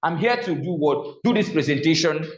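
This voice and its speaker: A man's voice: fairly high pitch, lively intonation, normal speed. A man with a Nigerian accent teaching a public speaking class, often posing a question to the room and then answering it himself. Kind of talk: classroom lecture. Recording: echoey room, slightly dull sound.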